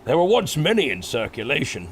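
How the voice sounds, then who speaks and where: Man playing a swooping, genteel yeti gentleman. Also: fairly high pitch, wildly swooping pitch, fairly quick, slight background noise. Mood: proud, exclamatory. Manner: polite, well-spoken, exclamatory. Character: civil, gentlemanly male Yeti, last ancient guardian. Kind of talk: audio drama acting.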